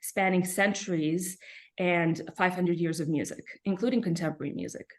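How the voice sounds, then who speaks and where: A woman from Serbia, a pianist, answering interview questions reflectively with frequent soft fillers and pauses. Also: lowish voice, fairly even intonation. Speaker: pianist guest.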